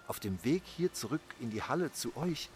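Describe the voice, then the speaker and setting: A man's voice: mid-range voice, very animated, normal speed, slight background noise, lightly acted. A man playing a chatty friend explaining himself in a Halloween audio play. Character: chatty male friend explaining his spooky surprise. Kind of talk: scripted Halloween audio play acting, conversational.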